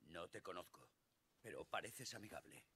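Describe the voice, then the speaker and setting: An actor playing a gruff, bitter, distrustful male space station survivor in a video game. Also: low voice, animated, moderate pace, pushed and bright, a little hiss or hum. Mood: wary. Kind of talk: video game character dialogue.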